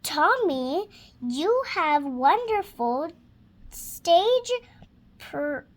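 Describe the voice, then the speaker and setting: A young girl of six reads a children's storybook aloud in a high voice. Her reading is very slow and hesitant, as she stops to sound out words. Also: big pitch swings. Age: child, six years old.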